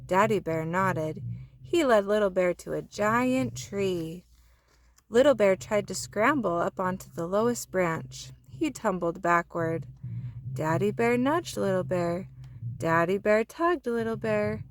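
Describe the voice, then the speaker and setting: A woman narrating a children's picture book aloud in an expressive storytelling style. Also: medium pitch, slow, slight background noise.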